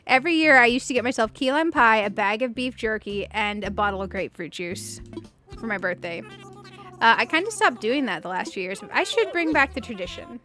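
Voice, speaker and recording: A woman's voice: on the high side, animated, a little fast, bright, forceful tone. A woman in her late thirties with a youthful-sounding voice, chatting casually and giggly as she hosts a video game livestream. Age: late 30s. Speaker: streamer and video game host. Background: music.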